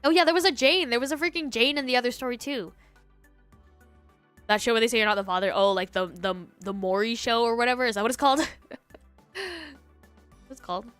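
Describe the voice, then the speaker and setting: A woman hosting a livestream, chatting casually and reacting to online stories in a giggly, animated way. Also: on the high side, fairly quick, long pauses, clear, resonant tone, dry recording, soft background music. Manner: giggly, animated, breaking into laughter. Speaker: livestream host.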